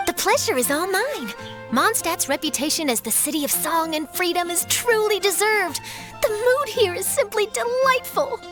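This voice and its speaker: A woman's voice: very high voice, lively intonation, moderate pace, over music. A woman playing an eager, inquisitive young female journalist in a video game, with a perky, articulate, girlish delivery. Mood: cheerful. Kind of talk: animated video game voice acting.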